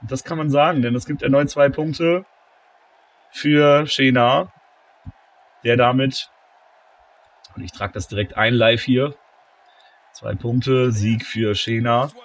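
A man with a cold, commentating a video game wrestling tournament, shouting at the big moments and calmer between them. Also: slow pace, pauses often. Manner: shouts and gets high-pitched at big moments, calmer when tallying scores. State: has a cold, slightly hoarse. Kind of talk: excited play-by-play commentary.

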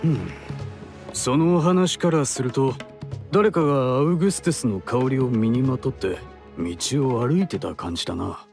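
A man's voice: mid-range voice, measured pace, gravelly, faint music behind. An actor playing an easygoing, confident elder brother in an anime game, a young man with casual speech. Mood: musing, offhand. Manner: casual, relaxed masculine speech.

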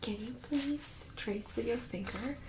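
A young woman speaks in a soft, breathy, whispery voice, gently and politely giving step-by-step instructions in an ASMR medical role-play. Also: on the high side, a little slow, noisy background. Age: young adult. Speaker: ASMR performer playing a medical examiner. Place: small indoor studio room.

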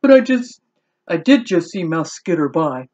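A big elephant who jumps at every little thing and squeaks in alarm, played by a woman reading aloud. The voice leaps up and down and sounds breathy on a dull recording. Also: very animated, normal speed, mellow. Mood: startled. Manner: startled and squeaky. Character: big jumpy elephant, easily startled.

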